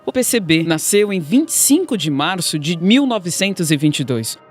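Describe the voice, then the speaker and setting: A woman's voice: on the low side, very animated, normal speed, faint music behind. A woman with a Brazilian accent reading scripted narration for a radio documentary.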